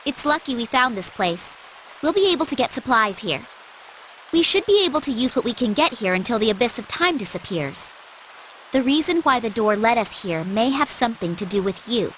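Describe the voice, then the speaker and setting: An earnest girlish android from an anime game. Her voice is high and very animated, with a clear hiss behind it. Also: fairly quick, poor audio. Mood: explaining. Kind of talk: English anime game dub.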